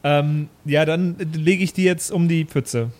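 A man's voice: on the high side, fairly even intonation, a little slow, a little mellow. A man with a Franconian accent, chatting casually as a player in an improvised role-play podcast.

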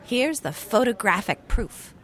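A woman's voice: on the high side, animated, measured pace. A woman playing a clever, confident young girl detective in an old-time radio mystery.

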